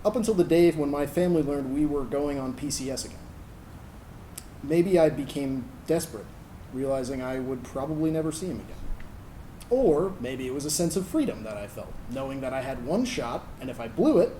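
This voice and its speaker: A man as a shy, mellow lovestruck storyteller, roomy. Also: mid-range voice, animated, brisk, close to an everyday voice. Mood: shy, smitten. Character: shy lovestruck youth from a military family, reminiscing. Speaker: actor in a staged reading. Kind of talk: dramatic monologue reading. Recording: roomy, noisy live stage recording.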